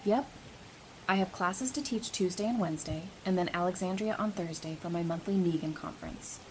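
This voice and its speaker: An actress playing a warm, teasing, geeky woman in a post-apocalyptic audio drama, speaking casually and playfully. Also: a little fast, close to an everyday voice. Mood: shyly flirtatious. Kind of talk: fan audio drama acting. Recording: noisy background with low rumble.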